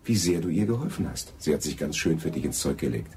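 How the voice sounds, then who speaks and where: Very deep-voiced false friend. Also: male voice, animated, fast, vocal fry, darkish tone, slight background noise, heavily acted. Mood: placating. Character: smooth-talking, condescending false friend, a gentleman. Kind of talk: German radio drama acting.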